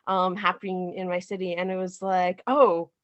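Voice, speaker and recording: A woman giving a casual interview as a playwright, speaking haltingly and thinking aloud. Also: fairly low pitch, flat delivery, no echo, muffled recording. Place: remote video call.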